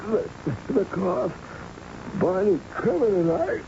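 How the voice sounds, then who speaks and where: A man's voice: high voice, very animated, slow, vocal fry, muffled recording, full character voice. An actor in his twenties playing a feverish, frightened young worker who is dying, weak and delirious in a radio drama. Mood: dazed, weak. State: weak, delirious, struggling to speak. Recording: noisy band-limited old radio drama recording.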